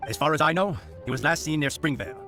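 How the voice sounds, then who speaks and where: An officious young knight rattles along extremely fast in a bright, forceful voice. He is formal and dutiful, in anime game style. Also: fairly high pitch, animated, faint music behind. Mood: earnest, explaining. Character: officious, earnest young male knight lawman.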